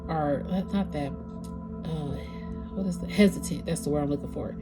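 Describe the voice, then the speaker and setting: Woman, lower register, congested and stuffy, easygoing card reader talking to her viewers, music bed underneath. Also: moderate pace. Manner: casual, conversational, searching for words. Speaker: tarot reader. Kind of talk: spiritual reading for a collective audience.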